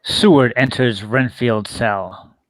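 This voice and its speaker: A man serves as narrator for a script reading. He delivers stage directions and scene headings in a medium-pitched voice. The sound is dry, with very little room. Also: slow pace, no echo, poor audio.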